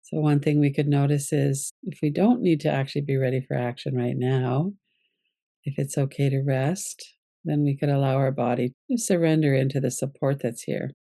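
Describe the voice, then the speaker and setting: A woman with a soft-edged, mellow voice and a noticeable creak guides a relaxation meditation. She gives each instruction calmly and gently, without hurry, as a yoga nidra teacher would. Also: rumbling low, no room sound. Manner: calm, gentle, unhurried instruction.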